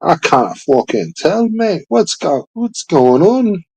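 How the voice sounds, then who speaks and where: A man's voice: high voice, sing-song, slow, creaky, a little mellow, breathy edge. A man, rambling and swearing through a laid-back casual chat.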